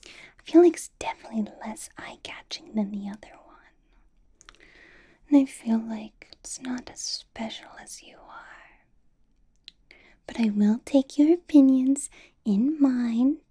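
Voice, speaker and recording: A woman voicing a possessive, doting, commanding ancient vampire boss in an ASMR roleplay, in a breathy whisper with coaxing hums. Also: on the high side, takes it slowly, pauses often, warm tone, heavily acted.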